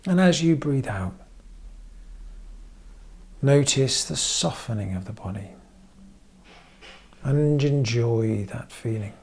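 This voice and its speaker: Male meditation guide, very creaky mid-pitched voice, unhurried gentle instruction with frequent pauses. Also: expressive. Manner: measured, pausing often between short phrases. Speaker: therapist leading guided meditation.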